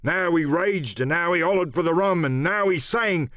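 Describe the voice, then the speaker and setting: A haunted old pirate voiced by a man rambles in rustic sailor dialect in an audiobook. The voice is high, superstitious and theatrical, close and dry. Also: normal speed, fairly bright tone, dry recording, natural voice. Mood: haunted, dreading. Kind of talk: audiobook character acting.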